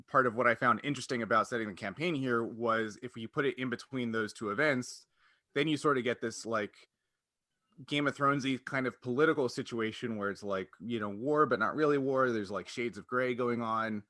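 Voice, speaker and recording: Guy podcast host, bright voice, super close dry sound, explains stuff casually w lots of likes. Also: mid-range voice, normal speed, no room sound. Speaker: podcast host and game master.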